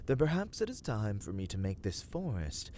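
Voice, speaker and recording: A man playing an ancient vampire lord in a dark fantasy ASMR roleplay, imperious and coldly courteous, with theatrical, archaic phrasing that often falls into whispers. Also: fairly low pitch, huge pitch swings, normal speed, slight background noise. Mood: grave, commanding. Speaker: voice actor in audio roleplay.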